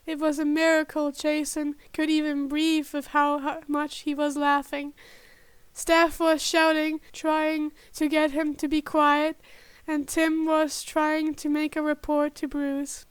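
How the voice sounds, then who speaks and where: A woman's voice: high-pitched, monotone, takes it slowly, dry recording. A woman reading fan fiction aloud as an amateur narrator, now and then stumbling and correcting herself. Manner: stumbling over words, self-correcting. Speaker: podfic narrator.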